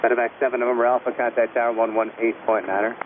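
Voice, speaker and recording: A man's voice: medium pitch, flat delivery, very fast. A man working as an air traffic controller, giving clipped instructions over an aviation radio. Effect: aviation radio transmission. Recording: tinny, narrow-band radio with static noise.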